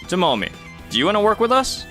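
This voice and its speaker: A man's voice: sits high, sing-song, fast talker, pushed and bright, soft background music, full character voice. A man playing a kindly, upbeat young entrepreneur in a manga dub, friendly and encouraging. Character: upbeat, kindly young male entrepreneur telling his story. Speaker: narrator and lead character in dub.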